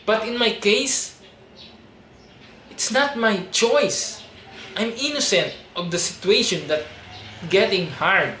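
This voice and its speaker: A man's voice: high-pitched, slow pace, pauses often. A man in his twenties with a Filipino accent, making an earnest, pleading personal appeal to camera. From Philippines. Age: 20s. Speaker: reality show audition applicant. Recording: roomy echo with steady background noise.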